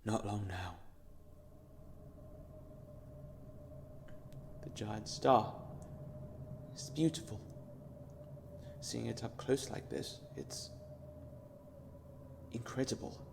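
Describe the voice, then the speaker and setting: An actor playing a despairing, world-weary male space traveller in an audio drama, speaking in a subdued, brooding, halting way. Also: on the low side, vocal fry, noisy background. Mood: awed, wistful.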